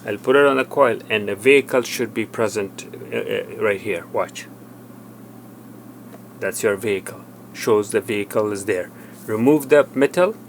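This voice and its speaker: Male tutorial presenter, lower register, halting and plain-spoken hands-on walkthrough, faint background noise. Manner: halting, plain step-by-step explaining. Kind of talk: hands-on product demonstration, unscripted.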